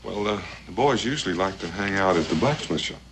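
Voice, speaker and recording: Low male voice, wise easygoing frontiersman, folksy, dry humor, noisy old soundtrack. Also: lively intonation. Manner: homespun, measured, dryly humorous. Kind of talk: TV western acting.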